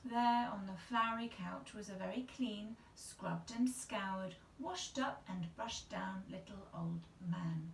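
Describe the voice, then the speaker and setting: A woman's voice: medium pitch, slow, vocal fry, hardly any pauses, slight background noise. A woman in her thirties reading a children's story aloud as a storyteller. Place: small tiled-looking bathroom indoors. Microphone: camera a couple of metres away. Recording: echoey small room, distant mic.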